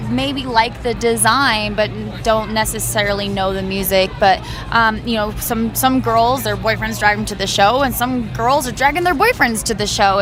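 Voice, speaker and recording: A woman singer from a band answering interview questions in a loose, rambling way with many fillers. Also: fairly high pitch.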